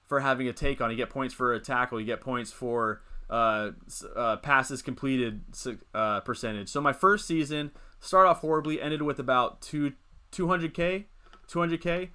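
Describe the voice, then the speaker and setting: Bright-voiced young man chatting on stream. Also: mid-range voice, normal speed, clear, resonant tone. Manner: rambling, reads chat and answers it. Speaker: livestream host. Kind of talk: casual live stream chat.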